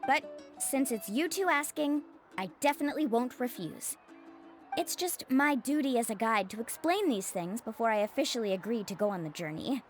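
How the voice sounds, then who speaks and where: A woman's voice: fairly high pitch, animated, moderate pace, faint music behind, natural voice. A woman playing a friendly young island guide and shopkeeper in a video game, chatty and reassuring. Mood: earnest, hesitant.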